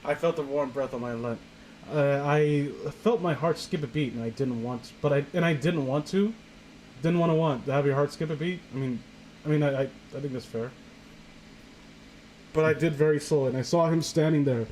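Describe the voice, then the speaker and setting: A man with a fairly high voice talks in a loose, rambling, sweary way, like a co-host joking through a story. There is faint noise on the home recording. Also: normal speed, a little mellow, poor audio. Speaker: co-host of a comedy story-reading show. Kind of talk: casual joking chat and mock reading.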